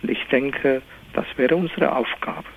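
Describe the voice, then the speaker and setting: A man from Kerala, a priest speaking gently and earnestly as a radio interview guest. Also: mid-range voice, measured pace, vocal fry, a little hiss or hum. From India, Kerala. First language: Malayalam. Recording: narrow phone-like radio sound.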